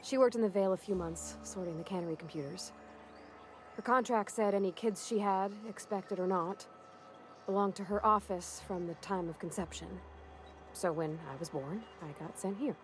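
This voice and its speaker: A young woman acting an eager, awkward ship mechanic in a video game, with a folksy, halting, earnest manner. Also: medium pitch, slight background noise, lightly acted. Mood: reflective, frank. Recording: music behind the voice.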